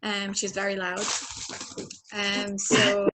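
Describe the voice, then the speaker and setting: A woman's voice: mid-range voice, monotone, slow. A woman in her twenties from Romania, telling her personal story earnestly on a video call panel, with many fillers and restarts. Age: 20s. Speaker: panel speaker. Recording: clear noise behind, baby at home.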